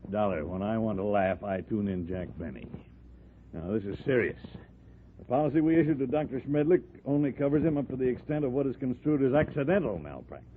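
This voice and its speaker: A man's voice: mid-range voice, animated, a little fast, close to an everyday voice. A man playing a fussy, exasperated insurance company manager in a 1940s radio drama, stern and a little hesitant. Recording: tinny, noisy 1940s radio recording.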